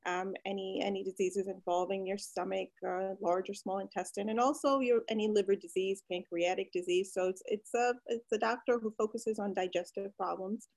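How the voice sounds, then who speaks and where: A woman physician who grew up in Pakistan and the United States, answering interview questions with many pauses and restarts. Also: mid-range voice, fairly even intonation, low-quality recording. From Pakistan, raised in the United States. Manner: hesitant, many fillers and restarts, warms up when enthused. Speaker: physician guest.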